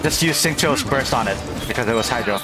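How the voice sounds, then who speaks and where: Game streamer, mid-range androgynous voice, animated pitch, playful, repetitive excited commentary, game music behind. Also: quick pace. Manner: playful, repeats phrases excitedly.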